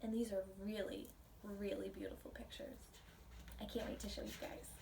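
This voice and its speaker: An American woman, a pre-K teacher, reading a picture book aloud and explaining it simply to young children in a gentle, child-directed way. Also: mid-range voice, flat delivery, normal speed, gravelly. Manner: gentle, child-directed, asks the listeners questions. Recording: distant mic, faint background noise.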